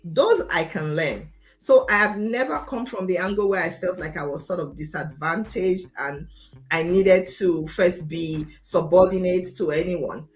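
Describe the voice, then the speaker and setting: A confident Nigerian woman on an online interview show, telling her story emphatically in a fairly low voice over music. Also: a little slow. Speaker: interview guest, lawyer. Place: remote video call.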